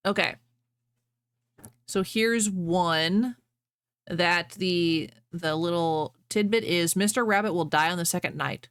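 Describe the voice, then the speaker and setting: A woman's voice: lowish voice, takes it slowly, smooth, no echo. A woman livestreamer chatting casually with viewers and reading fan fiction aloud.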